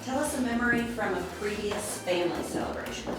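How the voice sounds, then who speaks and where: A woman off camera reading interview questions in a bright, brisk way. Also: mid-range voice, animated. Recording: distant, very echoey, music behind.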